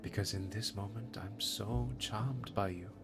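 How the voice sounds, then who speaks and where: A man playing a charming, guarded musician and lover in an intimate audio drama, soft-spoken and flirtatious. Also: lowish voice, fairly even intonation, unhurried, over loud music. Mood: tender, charmed.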